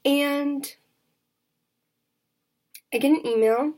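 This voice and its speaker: A woman in her twenties talks candidly to her vlog viewers in a raspy voice, halting often with fillers and restarts. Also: sits high, a little mellow. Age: 20s. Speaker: vlogger. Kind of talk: candid personal vlog chat.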